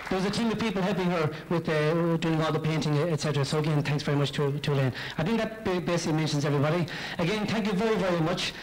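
A middle-aged man with a western Irish accent giving an informal, halting thank-you speech from a stage into a handheld microphone. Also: on the high side, some chatter behind. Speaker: pantomime company speaker giving thanks. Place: on stage in a theatre. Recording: noisy live theatre recording, loud audience.

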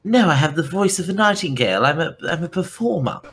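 A man voicing a showy, flattering female satyr performer in a tabletop game. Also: fairly high pitch, fast, voice pushed up, full character voice. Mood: boastful. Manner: smooth-talking, showy, fawning.

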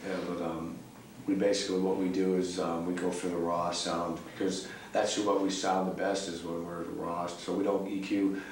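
A young man with a very low voice giving a blunt, profane backstage interview in a laid-back drawl. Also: flat delivery, moderate pace, few pauses. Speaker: band member being interviewed. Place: cramped backstage room indoors. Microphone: far camera mic. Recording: echoey room, distant camera mic, noisy.

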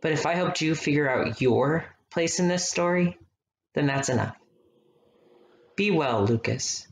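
Man acting tender earnest young letter writer, fairly high voice, radio play, faint noise. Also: expressive, a little slow. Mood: tender, resigned. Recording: noisy home recording with clicks.

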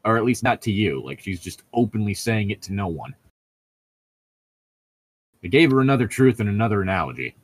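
A man co-hosting a casual discussion of a book. His voice is fairly low and swings animatedly as he picks things apart and explains them. The sound is dry and close, with little room. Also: very animated, brisk, long pauses, husky, no room sound. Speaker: podcast co-host. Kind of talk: casual commentary on a book being read aloud.

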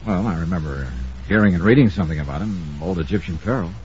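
An American actor playing a skeptical, wry insurance investigator in an old-time radio drama, probing informally. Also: male voice, low-pitched, fast talker, darkish tone, close to an everyday voice. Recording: dull, faintly noisy old radio recording.

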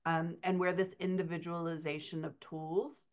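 Low-voiced American woman, slightly dull audio. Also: flat delivery, moderate pace. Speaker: writing coach and co-host. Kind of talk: casual Q&A chat. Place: indoors, on a video call.

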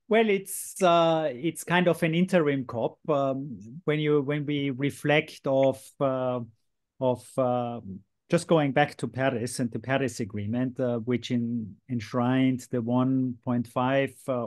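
A man speaks as a panelist over a video call, slowly working out his answer. He fills gaps with hesitations and restarts his sentences. The sound is dry and close. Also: medium pitch, fairly even intonation, a little mellow, no echo. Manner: halting, many fillers and restarts. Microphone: video call webcam.

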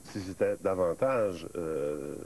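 A middle-aged Québécois man hosting a TV talk show, his voice deep and dark. He asks his questions haltingly, filling the gaps with little sounds. A faint hiss from old tape runs under the studio sound. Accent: Quebec French. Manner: prompting, halting questions with many euhs. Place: TV studio set, seated at table.

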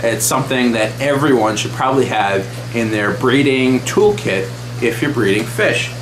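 A man's voice: medium pitch, animated, normal speed, echoey room, noisy background. A man in his thirties hosting an aquarium vlog, chatting casually and enthusiastically with frequent fillers, recorded in a small wood-paneled room close to the camera. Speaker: aquarium channel host.

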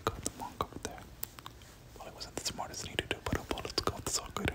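Whispering ASMR gamer, breathy and unvoiced, prone to looping short phrases while narrating play. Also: quick pace. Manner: repeats words and short phrases over and over. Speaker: gaming video host. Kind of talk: whispered ASMR game commentary.

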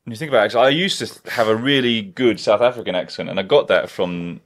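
A man's voice: lowish voice, fast talker. A man, hosting a casual chat in a wood-panelled study and swearing freely as he rambles through stories. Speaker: podcast host.

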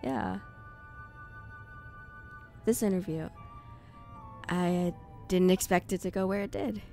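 A woman's voice: lowish voice, steady tone, slow pace, creaky, dark tone. A woman acting in an audio drama as a composed, guarded but curious journalist with a dry, understated manner. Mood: vulnerable, puzzled. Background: music.

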